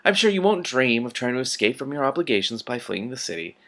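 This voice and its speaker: A man voicing a smooth, menacing ruler who speaks in formal, faux-polite veiled threats in an audiobook-style reading. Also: medium pitch, big pitch swings, fast, a bit bright. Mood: silkily threatening.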